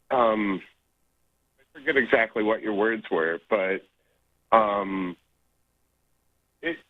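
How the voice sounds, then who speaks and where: A man's voice: mid-range voice, no room sound. Stammering male caller on a phone line. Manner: hesitant, stammering, many fillers.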